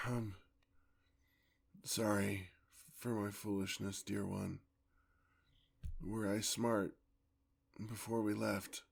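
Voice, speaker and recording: Man acting as a weary, husky, slow-spoken gentleman vampire. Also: fairly low pitch, heavily acted. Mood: apologetic, affectionate. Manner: formal, old-fashioned, endearment-laden speech. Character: weary, tender, courtly centuries-old male vampire. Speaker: ASMR roleplay performer. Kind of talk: ASMR roleplay acting.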